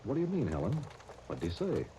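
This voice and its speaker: An actor playing a brisk, easily exasperated American businessman in a 1930s radio serial. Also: fairly low pitch, huge pitch swings. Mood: incredulous, exasperated. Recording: noisy 1930s radio broadcast recording.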